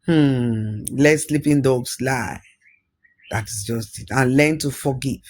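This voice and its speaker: A man's voice: mid-range voice, slow pace. A man narrating a folktale as a storyteller, dramatic, repeating words for emphasis.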